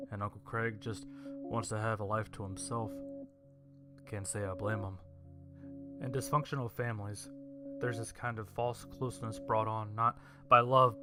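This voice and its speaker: Man, story narrator, low voice, flat even delivery, music underneath. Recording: music behind the voice.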